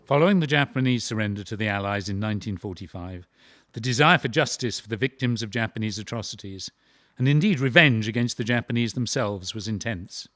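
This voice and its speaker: A man's voice: lowish voice, a little fast, a bit bright, no room sound, studio quality. A man delivering scripted, formal, grave narration for a historical drama.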